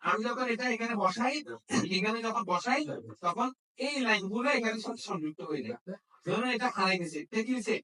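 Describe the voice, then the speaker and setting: High-pitched male instructor, echoey and distant. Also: expressive, a little fast, pushed and bright. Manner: checks understanding with frequent questions. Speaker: phone repair instructor. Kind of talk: hands-on classroom demonstration. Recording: echoey room, distant microphone.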